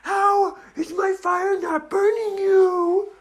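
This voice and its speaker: A woman's voice: steady tone, slow pace, background noise. A woman voicing a huge, touchy, greedy girl dragon in a storytelling, with a squawky, indignant, whining delivery. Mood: threatening, defiant. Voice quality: shrill, squawky. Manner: indignant, whiny outbursts.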